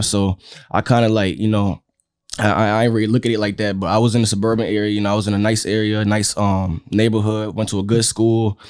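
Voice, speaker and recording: Young male rapper, quick and stammery, low voice, dry close sound, casual guest interview. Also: a little mellow, no echo. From Connecticut. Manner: stammering, fast, lots of "you feel me".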